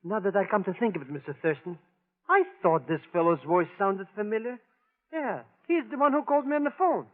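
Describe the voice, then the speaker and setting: Casting: fussy, trusting client, raised voice, very animated, old radio play with muffled sound. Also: male voice, high-pitched, brisk. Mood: excited realisation. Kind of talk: 1940s radio drama acting. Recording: muffled, echoing vintage radio recording.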